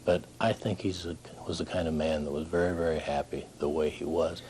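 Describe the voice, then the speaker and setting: A man giving an informal, reflective interview recollection, pausing and searching for words. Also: extremely low pitch, flat delivery, a little mellow, slight background noise. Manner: halting, reflective. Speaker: documentary interviewee.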